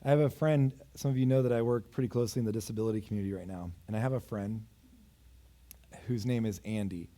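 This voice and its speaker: Dark-voiced male preacher in his thirties. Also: lowish voice, fast. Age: 30s. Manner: storytelling preacher, swings between raised urgency and quiet intimacy; self-deprecating asides. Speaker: pastor. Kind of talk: sermon. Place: church.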